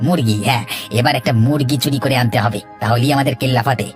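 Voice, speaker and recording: Man playing a fast-talking cocky village rascal. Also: medium pitch, lightly acted. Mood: scheming, eager. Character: cocky scheming village lad, a petty thief. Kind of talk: cartoon dialogue acting. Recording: faint music behind.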